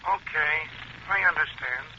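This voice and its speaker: An actor playing a deferential, eager hired killer, obedient and reassuring, in 1940s radio suspense style. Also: male voice, a little high, measured pace, close to an everyday voice. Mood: eager, compliant. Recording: dull, noisy vintage radio phone scene.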